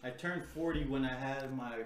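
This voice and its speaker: A man's voice: medium pitch, steady tone, moderate pace, over a noisy crowd. A man, co-hosting a casual podcast chat in a loose, laid-back way, swearing now and then. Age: 30s. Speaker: podcast co-host. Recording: roomy echo.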